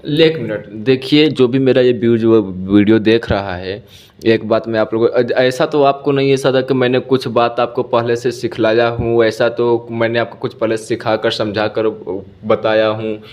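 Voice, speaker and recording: A man's voice: medium pitch, steady tone, normal speed, a little mellow. A man hosting a recorded phone interview as a guru, asking probing questions and prompting with short acknowledgements. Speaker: guru and channel host interviewing a follower. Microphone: phone call.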